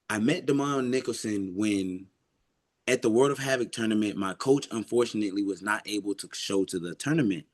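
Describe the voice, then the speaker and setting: A man speaks as an amateur athlete in a casual, reflective interview. His voice sits at a medium pitch, and he is earnest and emphatic, often repeating a phrase to stress it. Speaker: amateur boxer being interviewed.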